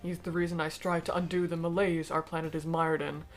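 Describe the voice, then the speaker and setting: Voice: medium pitch, little pitch movement, faint music behind, natural voice. A performer in a fan dub voicing a cold, aristocratic alien noble who speaks formally and eloquently, bitter yet persuasive. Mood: grave, probing. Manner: formal, eloquent, measured speech. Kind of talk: fan-dub voice acting of comic dialogue.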